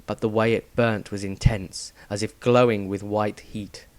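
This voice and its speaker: A man's voice: lowish voice, level tone, measured pace, dry recording. A man reading scripted fantasy adventure narration as a dramatic storyteller.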